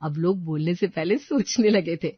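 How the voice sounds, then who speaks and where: A woman narrating a cartoon moral story, with an expressive, scolding tone when she speaks as the mother-in-law. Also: on the low side, moderate pace, slightly rough, no echo, muffled recording. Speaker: narrator and voice actor.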